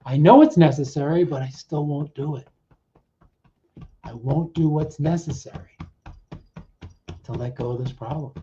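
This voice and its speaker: A man working as a coach, leading a guided self-help exercise in short, deliberate, repeated phrases with pauses between them. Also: on the high side, mellow, a little hiss or hum. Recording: dull, muffled lo-fi audio.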